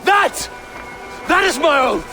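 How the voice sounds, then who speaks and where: A man's voice: extremely high pitch, very animated, slow, over music, full character voice. An actor playing a proud, fierce male Viking chieftain who speaks solemnly and gives commands. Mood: rallying. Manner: solemn, commanding, oath-swearing. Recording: game audio with noisy background.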